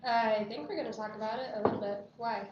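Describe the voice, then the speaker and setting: Woman reading as high-voiced student council girl. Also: quick pace, natural voice. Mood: casual, agreeable. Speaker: actor at a screenplay table read. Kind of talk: screenplay table read acting. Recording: echoey room, noisy, dull lo-fi.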